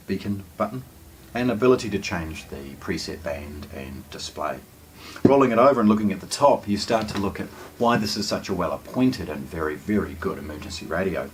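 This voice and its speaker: A man giving a casual hands-on product unboxing commentary, explaining things with hesitant pauses between phrases. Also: deep voice, slight background noise. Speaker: product presenter.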